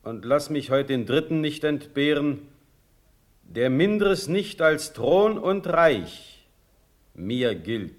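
An actor playing a grave, authoritative prince-elector in a classical radio drama, speaking in a stately, admonishing way. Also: male voice, a little high, full character voice. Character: grave, authoritative sovereign addressing his generals.

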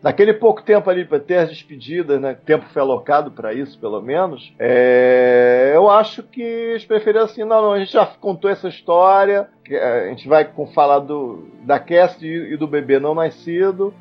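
High-pitched Brazilian man, halting analytical talk. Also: lively intonation. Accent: Brazilian Portuguese. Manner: halting, repeats words, thinks aloud. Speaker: podcast panelist. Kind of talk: casual analytical discussion of a TV series. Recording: dull lo-fi podcast audio with music.

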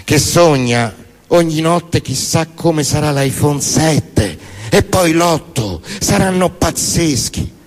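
A man performs theatrical spoken word as a stage monologue, in a deadpan, declamatory manner. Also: on the high side, slow. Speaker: stage performer reciting poems and prose monologues.

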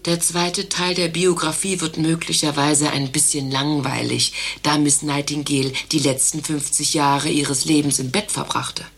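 An actress playing a pompous, devoted doctor's receptionist in a satirical radio play, earnest and emphatic. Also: female voice, sits low, little pitch movement, moderate pace, few pauses, slightly rough. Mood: earnest, admiring. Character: devoted, pompous doctor's receptionist who idolises Florence Nightingale.

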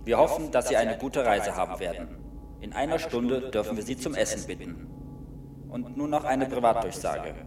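Echoey ship loudspeaker announcer. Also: male voice, medium pitch, animated. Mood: official. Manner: formal, measured announcement. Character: formal male ship's announcer. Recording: echoey distant loudspeaker, faint noise.

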